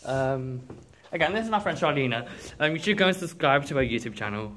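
A man's voice: mid-range voice, expressive, fairly quick, a bit bright. A teenage boy vlogging in a school corridor, chatty and cheeky, joking and swearing as he walks. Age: teens. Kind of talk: casual school vlog. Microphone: phone held in hand. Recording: noisy phone audio.

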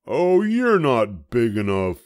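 An older man voicing a small grouchy ladybug in a children's storybook, loud and brash, always spoiling for a fight. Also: mid-range voice, wildly swooping pitch, slow pace, no room sound, studio quality, pitched above the performer's own voice. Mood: dismissive.

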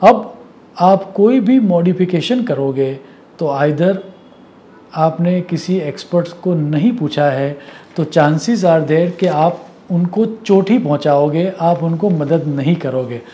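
A man gives a measured, didactic talk to camera, mixing in English words. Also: fairly high pitch, mellow, a little hiss or hum. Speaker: Vastu consultant presenting. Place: indoor office or study. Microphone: lapel mic.

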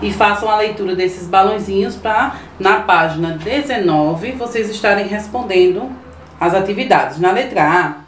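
A woman's voice: mid-range voice, a little hiss or hum. Patient woman teaching small children. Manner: slow, patient, sing-song teacher talk to small children. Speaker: primary school teacher. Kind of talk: remote lesson for young children. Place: small decorated classroom indoors. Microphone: camera microphone from a few meters away. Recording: echoey room, voice from a distance.

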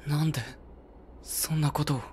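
A man's voice: fairly high pitch, little pitch movement, normal speed, a little mellow, slight background noise, lightly acted. An actor playing a troubled young male android soldier in a video game, polite and earnest. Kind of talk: dramatic video game acting.

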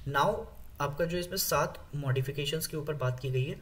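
A man with an Indian accent teaching an exam-prep lesson, explaining with many fillers, reading English lines slowly and asking viewers questions. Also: a little high, a bit bright. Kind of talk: exam-prep lecture over slides.

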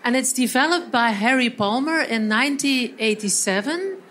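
A woman's voice: a little high, animated, moderate pace, a bit bright. A woman from Belgium co-presenting a live training workshop, explaining steps in slightly non-native phrasing. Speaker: seminar trainer. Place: event hall.

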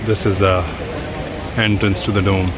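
A man on a trip, pointing out sights in quick, plain speech outdoors. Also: deep voice, level tone. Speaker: travel companion. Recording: street noise behind him.

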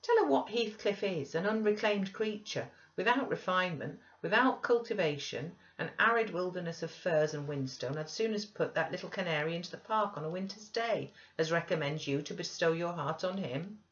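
A woman voicing an imperious, sharp-tongued young married gentlewoman in an audiobook, emphatic and scornful. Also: fairly low pitch, lively intonation, normal speed, few pauses, highs cut off, lightly acted. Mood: emphatic warning. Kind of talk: dramatized audiobook reading.

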